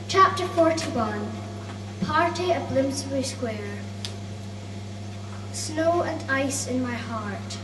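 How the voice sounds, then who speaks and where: A young schoolgirl of about nine reading a children's book aloud to an audience, carefully, with small stumbles. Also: high voice. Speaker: schoolgirl reader. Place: cafe event room, indoors. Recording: echoey room, distant, noisy with hum.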